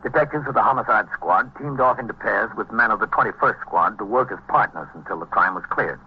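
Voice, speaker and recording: A calm police lieutenant from an old radio procedural, with a lowish American voice and a fast pace. He sounds clipped and matter-of-fact, on a muffled recording. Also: husky. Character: calm, dutiful male police lieutenant, also narrating. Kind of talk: vintage police procedural narration and dialogue. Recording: dull, noisy vintage radio recording.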